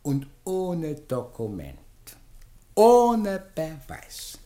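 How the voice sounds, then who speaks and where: An actor playing an indignant, sarcastic defence lawyer in a radio play, declaiming pompously and swinging from hushed tones to shouts. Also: male voice, a little high, wildly swooping pitch, extremely slow, roomy sound, full character voice. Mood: incredulous, mocking. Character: theatrical, indignant, sarcastic male defence lawyer pleading in court. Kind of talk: theatrical German radio play oratory.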